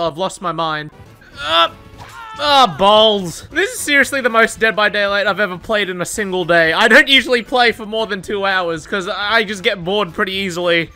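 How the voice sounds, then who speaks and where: A young man with a high, very bright voice reacts to his own gameplay from a desk mic at home. He swears freely, shouts at the game and laughs, and sounds a little worn out. Game music plays faintly underneath. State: tired, says his voice is going. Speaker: gaming YouTuber. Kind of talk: live gameplay commentary.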